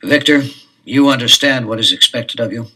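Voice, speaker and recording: A man playing a measured detective father. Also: mid-range voice, sharp tone. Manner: measured, authoritative. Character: cautious authoritative detective father. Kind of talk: 1970s children's audio drama.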